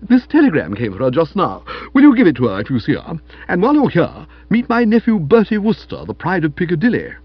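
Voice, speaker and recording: A man's voice: a little high, wildly swooping pitch, quick pace, slightly rough, dry recording, muffled recording, pitched above the performer's own voice, heavily acted. A man voicing a blustering, hearty older English aunt in an audiobook, with emphatic, scolding, slangy talk. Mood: brisk, commanding. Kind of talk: audiobook character voice.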